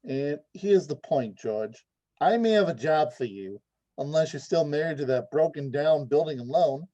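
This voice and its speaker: A man's voice: fairly high pitch, animated, moderate pace, warm tone, highs cut off, lightly acted. A man playing a brash, jovial young businessman on the telephone, in 1940s radio drama style. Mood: boastful. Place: indoors, recorded over a video call.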